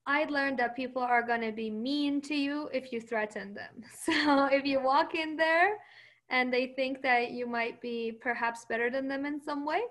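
A woman with a high voice, answering interview questions with confidence. Her first language is Persian, and she often laughs between points. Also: fairly bright tone.

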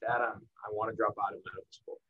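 An American man giving a casual motivational talk as a guest speaker, candid and conversational with emphatic pauses. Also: on the low side, steady tone, husky, muffled recording. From El Paso, Texas, United States. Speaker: guest speaker, marketing professional. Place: indoors in the evening, online livestream.

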